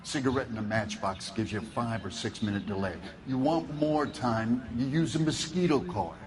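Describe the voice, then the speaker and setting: An actor playing a shrewd, needling fire investigator in a video game, with noise behind the voice. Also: male voice, mid-range voice, normal speed, a crowd in the background, natural voice. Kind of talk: dramatic video game acting.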